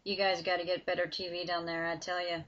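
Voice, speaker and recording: A woman voicing a huge, cocky teenage boy with a heavy Southern drawl, speaking loud and brash in a dull lo-fi recording. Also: on the low side, level tone, fast, close to an everyday voice.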